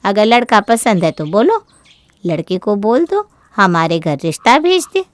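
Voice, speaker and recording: Woman playing a clear-voiced, fretful scolding mother. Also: mid-range voice, big pitch swings, measured pace, no echo, studio quality. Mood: stern, questioning. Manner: fretful, admonishing. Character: worried, scolding middle-aged Indian mother of a grown daughter.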